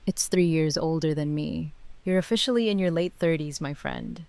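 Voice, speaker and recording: Actress as a blunt teasing younger woman, lowish clear voice, really dry sound. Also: moderate pace, darkish tone, dry recording. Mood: mocking, teasing. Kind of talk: audio drama acting.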